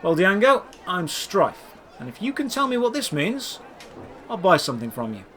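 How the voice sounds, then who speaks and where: A man's voice: high voice, sing-song, moderate pace, a bit bright, a faint crowd, a little hiss or hum, voice pushed up. A man playing a polite, curious adventurer in audio drama dialogue, earnest and conversational. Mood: friendly.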